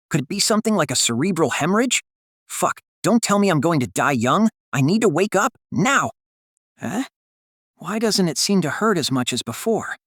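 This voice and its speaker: A man's voice: fairly high pitch, fairly bright tone, dry recording, studio quality. A man playing an anxious young working man muttering wryly to himself in an audiobook. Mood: pained, alarmed. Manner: inner monologue, muttering questions to himself. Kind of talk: audiobook character acting.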